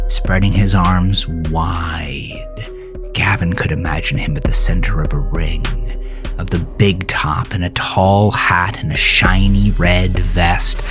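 A man narrating a scripted horror story in a dramatic, deliberate and intense manner. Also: deep voice. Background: music.

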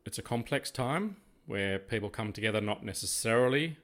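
An older Australian man co-hosting a casual podcast, talking in a halting, reflective way. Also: on the low side, animated, a bit bright. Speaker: podcast co-host. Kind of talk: casual chat.

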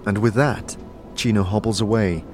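A man reading scripted audio drama narration in a measured, descriptive storytelling way. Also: on the low side, steady tone, over music.

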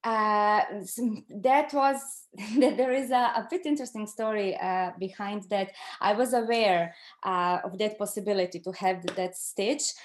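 Croatian-accented woman, halting, conversational storyteller. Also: mid-range voice. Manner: halting, searching for words in second-language English. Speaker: interview guest recounting her own experience.

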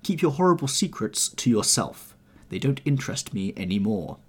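A man voicing a hard, cold gentleman scientist who answers with contempt, in an audiobook reading. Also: on the low side, expressive, a little fast, breathy edge. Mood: refusing.

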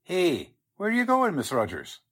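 A man playing a blunt, skeptical police sergeant with folksy slangy speech and a husky voice, in 1940s radio drama style. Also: high voice, huge pitch swings, moderate pace, a bit bright, full character voice. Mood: puzzled, challenging. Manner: blunt, folksy working-class cop talk.

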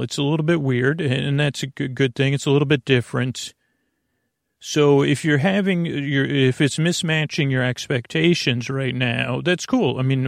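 A creaky, gravelly man rambles through a bedtime podcast monologue, wandering off on tangents and padding every thought with filler words. The recording is close and dry, with no room sound. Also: medium pitch, dry recording. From United States. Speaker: podcast host. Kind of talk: meandering sleep podcast monologue.

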